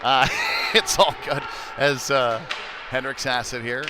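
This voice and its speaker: A man's voice: medium pitch, steady tone, slow pace. A man doing live play-by-play commentary of an ice hockey game in a folksy, informal way. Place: indoor ice rink, live stream. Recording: loud rink noise behind the voice. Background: loud arena ambience, puck and board slams.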